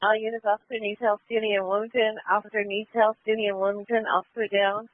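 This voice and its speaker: A woman's voice: mid-range voice, flat delivery, fast talker. A woman working as a police dispatcher, giving clipped, procedural calls over the radio and repeating instructions. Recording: band-limited tinny police radio.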